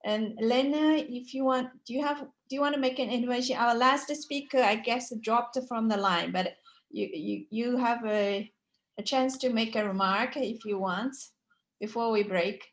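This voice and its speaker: A woman chairs an online conference session in a fairly high voice. She speaks informally, thanking speakers and inviting others in. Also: moderate pace. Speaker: session moderator.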